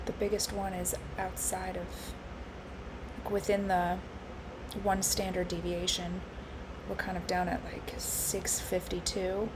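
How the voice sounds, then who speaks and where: A woman live-streaming her futures trading, congested and hoarse from allergies, thinking aloud with lots of fillers and restarts. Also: lowish voice, completely flat delivery, measured pace, noisy background. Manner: musing, with frequent fillers and restarts.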